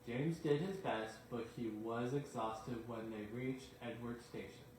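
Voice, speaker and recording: A man's voice: mid-range voice, unhurried, a little mellow, far from the mic. A man reading children's bedtime stories aloud as a narrator in a reverberant room. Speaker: storyteller. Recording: very echoey room, faint noise.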